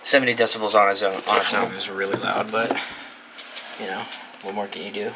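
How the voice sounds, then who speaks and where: Low-pitched young American man, noisy recording. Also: level tone, fairly quick. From New England, United States. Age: young adult. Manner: informal, swearing, thinking aloud. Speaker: video host reviewing a gadget. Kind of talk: casual unboxing and setup commentary. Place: dorm room.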